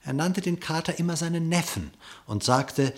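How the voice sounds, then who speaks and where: A man narrating a verse fable in an expressive, theatrical, declamatory storytelling manner. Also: fairly high pitch, normal speed.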